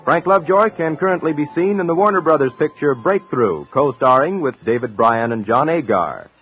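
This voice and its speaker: A man's voice: fairly high pitch, lively intonation, fairly quick, music in the background. A man announcing in scripted 1950s radio style, reading credits and programme promos. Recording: muffled 1950s radio recording.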